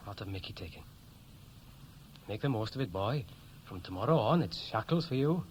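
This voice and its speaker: A man's voice: lowish voice, expressive, normal speed, close to an everyday voice. An actor playing a restless, evasive young Welshman in a 1960s radio play, speaking in a hesitant, colloquial way. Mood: casual, animated. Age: young adult. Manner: hesitant, colloquial, self-correcting. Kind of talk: 1960s radio play acting, naturalistic dialogue. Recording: noisy vintage 1960s radio recording.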